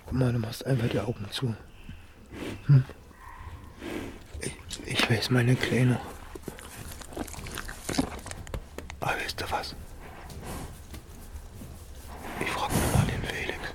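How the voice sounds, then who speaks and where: An actor playing a worn-out, tender village farmer with a Berlin-Brandenburg accent, speaking haltingly and colloquially in a radio play. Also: male voice, medium pitch, level tone, background noise, natural voice. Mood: hushed, pleading. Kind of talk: naturalistic radio play acting.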